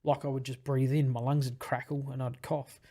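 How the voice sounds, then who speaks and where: A young man with a husky voice, a podcast guest talking in casual, rambling chat. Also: mid-range voice, little pitch movement, a little fast, dark tone. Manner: rambling, with many fillers and restarts.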